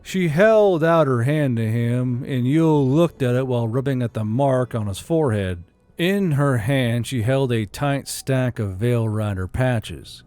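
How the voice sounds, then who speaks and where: A man's voice: mid-range voice, dark tone, dry recording. A man reading scripted fantasy fiction as an audiobook narrator.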